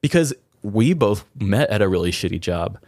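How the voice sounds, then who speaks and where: Male podcast host, slightly deep, informal and reflective, intimate dry sound. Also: animated, normal speed, darkish tone, no echo. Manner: introspective, informal, occasional swearing. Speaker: podcast host sharing a personal story. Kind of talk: candid reflective conversation.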